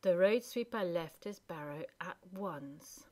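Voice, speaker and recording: A woman storyteller reading a storybook aloud in an expressive narrating style. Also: lowish voice, slow.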